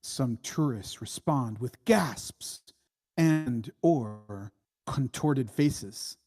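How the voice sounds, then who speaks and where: Slow, deliberate man reading screenplay directions. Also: mid-range voice, darkish tone. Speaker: reader of stage directions. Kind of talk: scripted screenplay narration.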